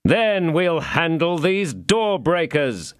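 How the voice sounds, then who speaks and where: A grim swordsman speaks slowly with cold calm, voiced by a man. His words are measured and the recording is close and dry. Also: fairly high pitch, clean tone, no echo, voice pushed up. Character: calm, grim male swordsman behind a door.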